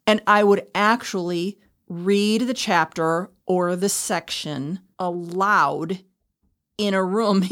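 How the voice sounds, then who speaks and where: A woman talking casually and reflectively as a podcast host, explaining things through her own experience. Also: medium pitch. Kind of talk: casual conversational talk.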